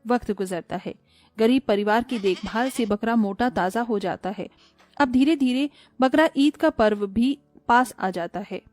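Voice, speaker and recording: A woman narrating a scripted cartoon story in a calm storytelling manner. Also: a little high, unhurried, no echo, faint music behind.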